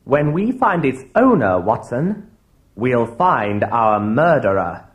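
An actor playing a keen, confident schoolboy detective in a read-along audio drama. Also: male voice, mid-range voice, big pitch swings, slow pace, highs cut off. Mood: earnest, determined.

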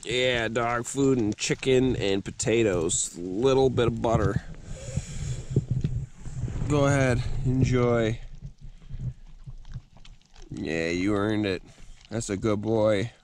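A man's voice: medium pitch, slow, long pauses, noisy background. A young man vlogging casually while camping in the snow at night, chatting to his dog and cooking over a fire. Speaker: outdoor vlogger. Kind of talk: casual camp vlog narration. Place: outdoors at a campfire in snow at night, then inside a snow shelter.

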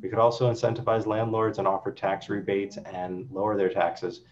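Deep-voiced man on a dull video call. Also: little pitch movement. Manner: brisk, reads questions then answers them himself. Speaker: council member hosting a town hall. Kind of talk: virtual town hall, reading audience questions. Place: office with city window, indoors. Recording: dull lo-fi video call audio with faint hum.

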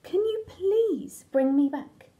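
A woman's voice: very high voice, expressive, takes it slowly, warm tone, muffled recording, exaggerated voice. A woman voicing a disgusted, indignant tan crayon from a storybook, wry in its complaint.

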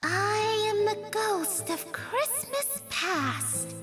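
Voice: very high voice, sing-song, very slow, loud music behind the voice, exaggerated voice. A voice actor playing a playful cat as the ghost of Christmas past in a comic dub. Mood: grand.

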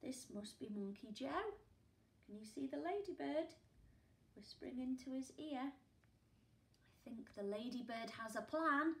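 A woman in her forties, a primary school teacher reading a picture book aloud at home, with gasps and hushed asides for young listeners. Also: high voice, expressive, a little slow, vocal fry, pauses often, reverberant. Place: living room at home, indoors. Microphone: camera a few feet away.

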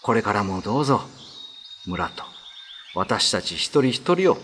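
A man's voice: on the low side, very animated, unhurried, a bit bright, a little hiss or hum. A man playing a pious young male farmer in a radio drama, speaking politely and with heartfelt drama. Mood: reverent, grateful.

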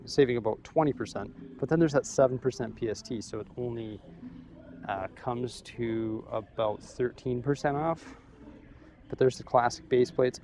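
A young man filming himself in a big store, chatting casually as he weighs up prices and thinks out loud. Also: medium pitch, a little hiss or hum. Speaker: vlogger. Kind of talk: casual shopping vlog. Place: large store aisle, indoors. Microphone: camera held at arm's length.

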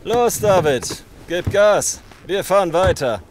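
A man's voice: high-pitched, expressive, slow, background noise. An actor playing a menacing, calculating male gang boss in a German radio play, commanding and mock-polite. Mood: commanding, threatening.

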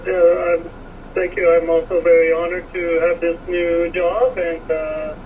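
A man from Miami with an American accent, answering interview questions thoughtfully with many pauses and fillers. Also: a little high, little pitch movement. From Miami, USA. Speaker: car design director guest. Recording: distant, dull, noisy radio audio.

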